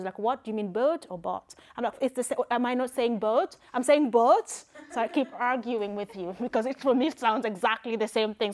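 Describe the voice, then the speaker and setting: Kenyan woman, high and very lively voice, playful church speaker who teases her audience. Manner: playful, laughs often, teasing the audience. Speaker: conference speaker. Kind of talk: church conference talk with audience game. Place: church hall with live audience.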